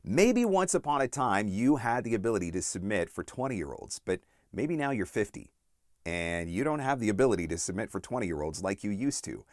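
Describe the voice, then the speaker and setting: A man in his forties giving instructional talk as a coach and host, deliberate and emphatic on key points. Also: on the low side, big pitch swings, dry recording, clean studio sound. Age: 40s. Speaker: voice-over coach and channel host.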